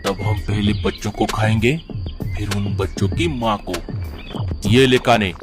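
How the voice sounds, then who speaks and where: Man voicing low, slow, bossy eagle villain. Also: music in the background. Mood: scolding, scheming. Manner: domineering, scolding. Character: bossy, menacing male eagle chief of predator birds. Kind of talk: children's cartoon villain acting.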